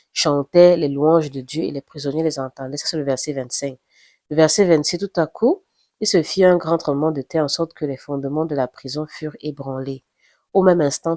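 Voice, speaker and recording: A woman's voice: low voice, no room sound. Woman, nasal from flu, casual Bible reflection, dry close sound. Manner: thinks aloud, with restarts and rising excitement. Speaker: Bible reader sharing reflections.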